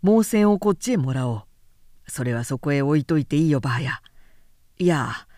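Woman voicing a brisk, easygoing young gentleman. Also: sits low, animated, dry recording, clean studio sound, pitched below the performer's own voice. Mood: brisk, relaxed. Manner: casual, blunt masculine speech. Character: brisk, easygoing elder brother directing servants and friends. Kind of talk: literary audiobook character voice.

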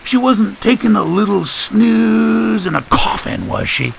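A man's voice: high-pitched, expressive, measured pace, creaky, a little hiss or hum, pitched above the performer's own voice, exaggerated voice, poor audio. A man voicing a folksy, wisecracking man in an audiobook, full of sarcasm and mock-pompous big words. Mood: mocking, exasperated.

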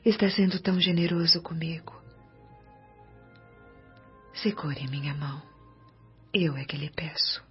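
A Brazilian actress playing a humble, suffering woman spirit in a radio drama, with a breathy, often whispering voice and tender delivery. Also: deep voice, measured pace, pauses often. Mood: grateful, tender. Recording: dull lo-fi radio drama recording. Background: soft music.

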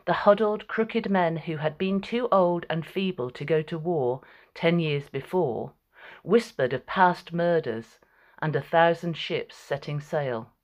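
A woman's voice: sits low, measured pace, highs cut off. A woman narrator reading a scripted, dramatic story.

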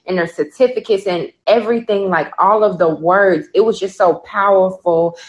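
A woman from Chicago co-hosting a podcast, telling a story in an earnest, animated way with casual fillers. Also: mid-range voice, normal speed, highs cut off. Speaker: podcast co-host. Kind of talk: casual chat.

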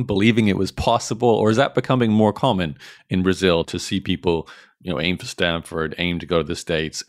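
A man's voice: sits low, no echo, clean studio sound. A man hosting a podcast interview, asking long conversational questions. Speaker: podcast host.

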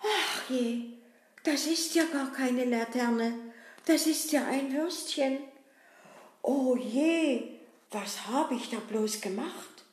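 A good-natured, always hungry puppet boy fusses and makes excuses, played by a woman. He talks slowly in a mid-range voice. The room gives it a clear echo. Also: high-pitched. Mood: chatty. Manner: chatty, fussy, self-excusing. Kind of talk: puppet-show acting. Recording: roomy echo.

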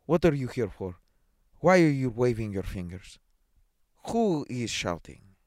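A man's voice: medium pitch, big pitch swings, unhurried, a little mellow, dry recording, clean studio sound, voice pushed up. Man putting on a pompous, blustering police superintendent. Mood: demanding. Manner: pompous, barking orders and questions. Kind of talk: dramatised short story reading.

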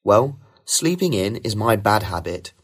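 Male test candidate, lowish voice, animated, polished scripted delivery. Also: a little slow. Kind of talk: scripted model speaking-test answers.

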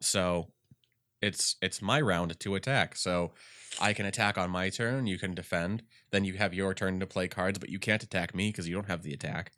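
A man with a congested voice, chatting casually about games as a podcast co-host and rambling through animated retellings. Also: sits low, moderate pace, no room sound, clean studio sound. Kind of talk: casual gaming chat.

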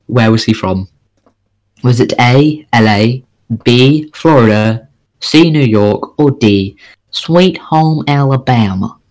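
A man's voice: medium pitch, animated, slow, a little mellow, low-quality recording. A man co-hosting a casual podcast, reading odd news as quiz questions in a joking, bingo-host manner.

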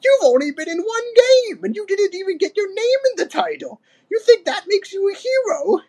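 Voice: very high-pitched, animated, normal speed, a little mellow, pitched above the performer's own voice. A storyteller voicing a cranky, boastful old male ape in a storybook, mocking and grumbling. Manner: snorting, grumbling, scornful. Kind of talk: storybook character reading.

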